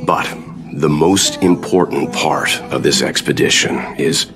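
An actor playing a calm, composed older expedition leader in a video game, speaking in a measured, formal and deliberate way. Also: male voice, very deep voice, music in the background. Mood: solemn, instructive. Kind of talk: video game cutscene dialogue.

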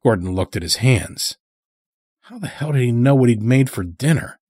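A man's voice: mid-range voice, animated, normal speed, a little mellow, husky, no echo. A man narrating a scripted horror story as its storyteller.

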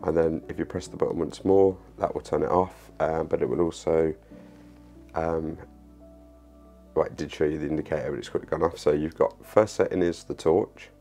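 A man's voice: rumbling low, steady tone, normal speed, warm tone, faint music behind. A man in his thirties from the UK, casually showing off a camping lantern to camera in a small living room, with many ums and restarts. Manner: hesitant, many ums and uhs, repeats himself. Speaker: outdoor gear reviewer. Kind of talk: casual product demo to camera.

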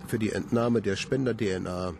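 A man's voice: lowish voice, moderate pace, creaky. An actor in a radio play playing a secretive, resolute male scientist in a grave, formal monologue. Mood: grave, determined. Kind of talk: German radio play acting. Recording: noticeable noise behind the voice.

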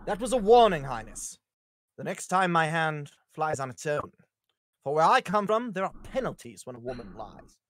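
A man's voice: fairly high pitch, big pitch swings, moderate pace, no room sound. A man playing a masked pirate, coldly courteous and sardonic, in a live script read. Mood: cold, menacing. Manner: sardonic, theatrical. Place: indoors, on a group video call.

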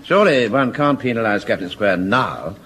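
An actor playing a mild, gently ironic sergeant with a languid drawl in a radio sitcom. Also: male voice, on the low side, lively intonation, moderate pace. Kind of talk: 1970s radio sitcom acting. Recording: old radio recording with faint hiss.

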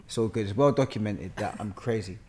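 A man in his thirties with a London accent, chatting and telling stories in an animated, bantering way full of slang. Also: on the low side, a little fast. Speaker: podcast guest, actor. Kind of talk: casual conversational storytelling. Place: bright living room, on a sofa. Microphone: podcast mic on a boom arm.

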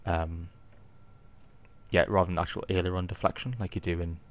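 Geordie male, deep, easygoing explainer thinking aloud, slightly muffled home-recorded sound. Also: moderate pace. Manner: relaxed, explanatory, thinking aloud with light asides. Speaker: flight simulator video maker. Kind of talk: casual narrated gameplay walkthrough.

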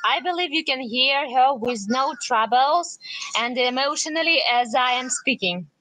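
Bright, high-pitched female interpreter. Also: animated, a little slow, a little hiss or hum, low-quality recording. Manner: translates phrase by phrase, sometimes loosely. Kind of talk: consecutive interpreting into English.

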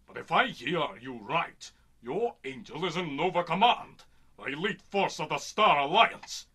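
A man playing a proud, fierce bird-man warrior in a radio serial, speaking formally and theatrically with a raspy voice. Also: fairly high pitch, lively intonation, a little slow, sharp tone, heavily acted. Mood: grave alarm. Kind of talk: old-time radio serial acting.

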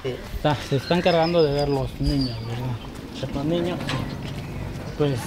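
A man's voice: a little high, moderate pace. A man with a Guatemalan accent, chatting casually and teasingly as a vlogger interviewing guests on an outdoor lakeside terrace, laughing often. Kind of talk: casual chat. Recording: outdoor noise behind.

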